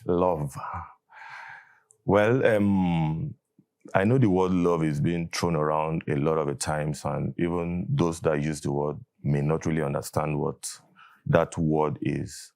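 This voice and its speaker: A Nigerian man with a very deep, low voice, an Igbo speaker, appearing as an interview guest. He talks thoughtfully, pausing often and restarting his sentences as he works out his ideas. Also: steady tone, creaky, darkish tone. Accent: Nigerian English. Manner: thoughtful, hesitant, with fillers and restarts. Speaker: guest, catechist. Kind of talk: conversational interview.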